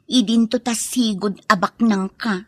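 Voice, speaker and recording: A frank but sympathetic sister in a radio drama, played by a woman. She speaks at medium pitch in a husky voice, close and dry. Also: steady tone, measured pace, no echo, natural voice. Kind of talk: Ilocano radio drama acting.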